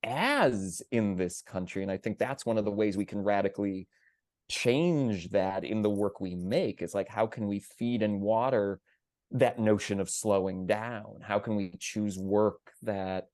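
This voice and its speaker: A man's voice: lowish voice, unhurried, a little mellow, no room sound, clean studio sound. A man talking conversationally on a theater panel, earnest and emphatic, circling around his phrasing.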